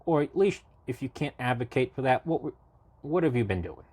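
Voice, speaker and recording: A man's voice: mid-range voice, expressive, fairly quick, a little mellow, breathy edge, no echo. Man, late twenties, from Massachusetts, podcast guest, rambling casual talk, lots of fillers, dry close sound. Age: 20s. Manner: talkative, rambling, frequent fillers and restarts. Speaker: podcast guest, local party committee chair. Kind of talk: casual interview conversation.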